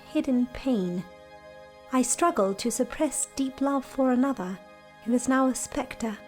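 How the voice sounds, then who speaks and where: High-voiced, lovelorn young Victorian bride. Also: female voice, a little mellow. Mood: wistful, secretive. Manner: slow, earnest, emotional. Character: young Victorian bride-to-be, tender, hiding grief and love. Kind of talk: radio drama monologue.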